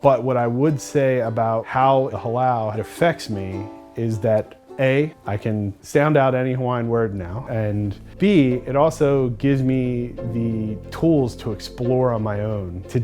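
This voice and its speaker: A man's voice: mid-range voice, a little slow, hardly any pauses, a little mellow, soft background music. A man from Philadelphia, a language student, speaking earnestly and thoughtfully in a documentary interview.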